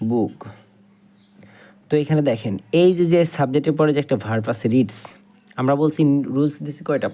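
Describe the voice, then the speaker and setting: A man's voice: on the high side, darkish tone. A man with a Bangladeshi accent teaches grammar step by step, repeating points and answering his own questions. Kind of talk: step-by-step grammar lesson at a board.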